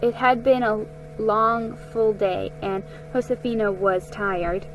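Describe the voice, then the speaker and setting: Lady reading a kids storybook out loud, real slow and dramatic, some music behind it. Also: medium pitch, fairly even intonation, low-quality recording. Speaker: amateur story reader. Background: music under the reading.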